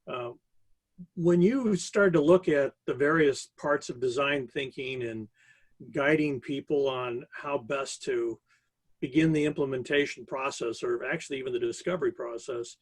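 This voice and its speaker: A man's voice: mid-range voice, moderate pace, no echo. A man co-hosting a webcast, thoughtfully explaining ideas over a video call. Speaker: co-host. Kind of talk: interview hosting.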